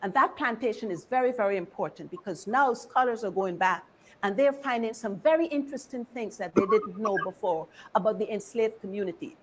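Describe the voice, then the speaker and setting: A woman from Barbados speaks as a history lecturer. Her voice sits at a medium pitch. She is deliberate and engaging, addressing her listeners directly in an informal talk. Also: a little hiss or hum, poor audio.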